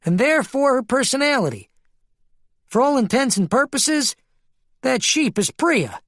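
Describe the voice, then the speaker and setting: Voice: high voice, expressive, unhurried, fairly bright tone, no echo, clean studio sound, voice pushed up, heavily acted. A narrator voicing a smug, knowing male schemer who explains and teases in an audiobook. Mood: explaining, pleased.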